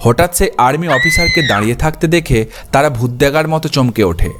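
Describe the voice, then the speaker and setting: A man's voice: fairly high pitch, lively intonation, fast talker, loud music behind the voice. A man giving scripted narration for a children's cartoon story.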